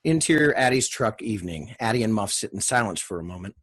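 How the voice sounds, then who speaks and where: Low, husky man narrating screenplay directions. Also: animated, fast talker, a bit bright.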